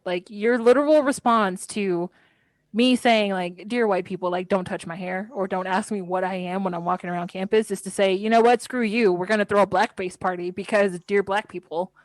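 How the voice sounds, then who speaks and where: A woman in her thirties co-hosting a podcast in casual chat, blunt and animated, swearing when something annoys her. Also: medium pitch, no room sound, rough recording. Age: 30s. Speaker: podcast co-host.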